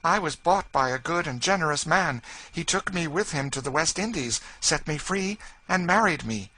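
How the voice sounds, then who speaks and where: A man's voice: on the high side, expressive, moderate pace, bright, forceful tone, voice pushed up, low-quality recording. A man putting on an eager, tearful freed woman. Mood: tearful pride. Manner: eager, emotional questioning. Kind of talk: audiobook character voice.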